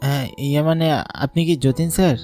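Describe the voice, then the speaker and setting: A man's voice: fairly high pitch, steady tone, fast talker, warm tone, lightly acted. A man voicing a hesitant, deferential village schoolboy in a horror cartoon. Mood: hesitant, respectful. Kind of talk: dubbed horror cartoon dialogue. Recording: music under the voice.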